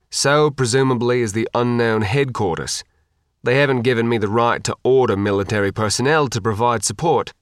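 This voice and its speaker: A man voicing a calm, authoritative flotilla commodore in an audiobook, giving direct orders in an informal way. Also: mid-range voice, dry recording, clean studio sound, close to an everyday voice. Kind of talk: audiobook character dialogue.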